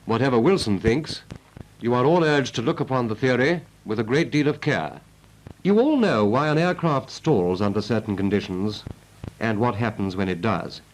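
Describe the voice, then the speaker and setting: A man playing a brisk British naval instructor, narrating a 1940s training film in a clipped, didactic, emphatic way. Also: mid-range voice, expressive, lightly acted. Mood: brisk, instructive. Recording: noisy vintage 1940s film soundtrack.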